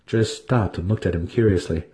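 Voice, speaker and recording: A man's voice: sits low, normal speed, warm tone. A man narrating a fantasy audiobook as a dramatic scripted reading.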